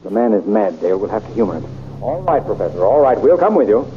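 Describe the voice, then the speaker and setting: Brave young hero, animated pitch, heroic declamation, 1930s radio drama, hissy lo-fi recording. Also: male voice, quick pace. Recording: crackly dull old radio recording.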